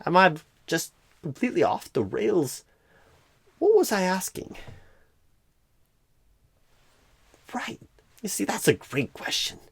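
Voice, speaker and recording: A clueless, eccentric job recruiter, acted out by a man in an ASMR roleplay. His voice is husky and breathy, often sinking into a whisper, and it swings wildly up and down. He rambles slowly, breaking sentences in odd places. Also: sits high, very animated, a bit bright, dry recording, heavily acted. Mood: confused. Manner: halting, oddly broken phrasing, rambling.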